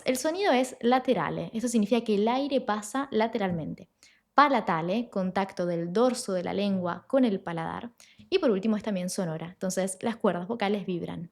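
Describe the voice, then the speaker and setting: Woman teaching pronunciation clearly. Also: mid-range voice. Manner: clear, explanatory, slows down to model sounds. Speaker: Italian language teacher. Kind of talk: online pronunciation lesson.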